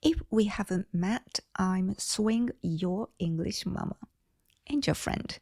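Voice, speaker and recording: A middle-aged Japanese woman with a Japanese accent, hosting an English lesson and speaking slowly and deliberately. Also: medium pitch, lively intonation, warm tone, dry recording, clean studio sound. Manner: slow, deliberate, carefully clear delivery. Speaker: English teacher and channel host. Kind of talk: slow scripted English lesson talk.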